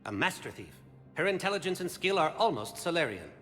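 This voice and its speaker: A man's voice: fairly high pitch, very animated, a little fast, a bit bright, faint music behind. A man voicing an intense, confident alien special agent in a video game, clipped and formal in delivery. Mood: earnest, intent. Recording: long echoing reverb, background noise.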